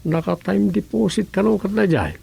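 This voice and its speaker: A man's voice: sits high, expressive, moderate pace, creaky, dark tone, husky, heavily acted. A male actor with an Ilocano Filipino accent playing a chatty, nosy local councilor in a comic radio drama. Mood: chatty, bantering.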